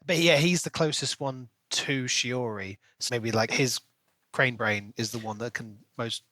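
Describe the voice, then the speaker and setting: A man's voice: medium pitch, unhurried, pushed and bright, dry recording. A British man co-hosting a podcast, casually discussing a book in an analytical, questioning way. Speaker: podcast co-host.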